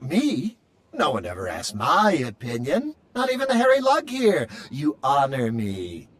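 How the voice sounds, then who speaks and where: Fussy male droid, polite, formal, theatrical, very animated pitch, husky edge, game dialogue. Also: unhurried, exaggerated voice. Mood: prim, eager. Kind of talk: video game voice acting.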